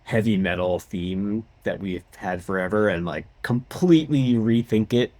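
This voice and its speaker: A man's voice: lowish voice, moderate pace, a little mellow, no room sound. A man co-hosting a podcast, speaking in a casual, conversational style. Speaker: podcast co-host and composer. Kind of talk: casual chat.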